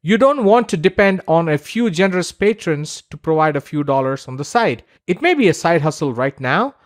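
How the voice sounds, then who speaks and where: A man's voice: on the high side, animated, moderate pace. A man narrating a scripted promotional course introduction as its instructor, speaking persuasively and directly to the listener with emphasized lists.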